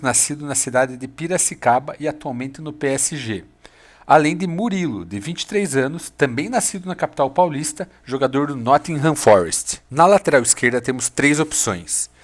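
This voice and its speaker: A man with a Brazilian Portuguese accent, hosting a football channel, narrating a scripted video that lists players and gives his opinions in an upbeat way. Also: medium pitch.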